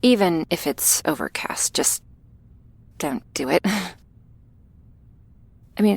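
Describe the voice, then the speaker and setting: A woman playing a shy, gentle vampire girl in an ASMR roleplay, speaking softly and hesitantly, awkward but reassuring, often slipping into a whisper. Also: sits low, full character voice. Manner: hesitant, halting, soft-spoken with breathy whispers. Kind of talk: ASMR roleplay addressed to the listener.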